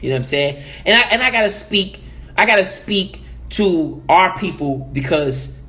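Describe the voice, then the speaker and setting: A man's voice: high voice, lively intonation, moderate pace, bright, forceful tone. A man from the Caribbean giving emphatic opinion commentary as a vlogger, preachy and repeating his points.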